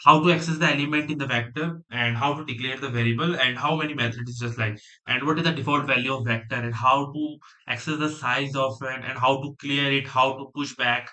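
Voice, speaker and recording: A young man from Delhi with an Indian accent, a native Hindi speaker, chatting casually on a coding livestream and rambling quickly with many fillers. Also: mid-range voice, fairly even intonation, bright, forceful tone, poor audio. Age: young adult. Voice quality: rapid, rambling, filler-heavy talk. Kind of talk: live stream chat, mixing Hindi and English.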